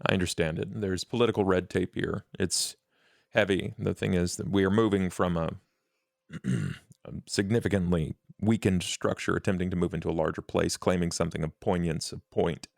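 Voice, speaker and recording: A low, heavily creaking voice for a fussy undead bureaucrat , played by a man. He speaks in measured, formal phrases with a deadpan air, as in a tabletop roleplay game, recorded close and dry. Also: no echo. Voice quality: gravelly, creaky.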